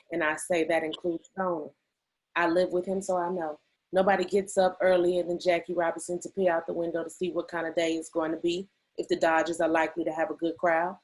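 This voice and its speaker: A woman's voice: on the low side, steady tone, brisk, low-quality recording. A woman playing a loyal wife defending her husband in a dramatic table read, earnest and emphatic. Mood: impassioned, protective. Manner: emphatic, heartfelt.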